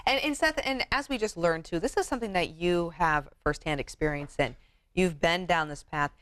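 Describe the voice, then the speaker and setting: A woman's voice: fairly low pitch, normal speed, a bit bright, dry recording. A woman hosting an upbeat TV interview segment in a chatty, affirming way. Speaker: TV show host.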